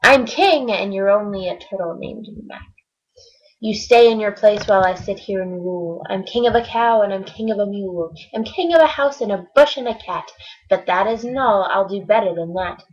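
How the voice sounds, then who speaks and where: A woman voicing a boastful, bossy male turtle king who shouts his commands. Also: mid-range voice, normal speed, muffled recording, natural voice.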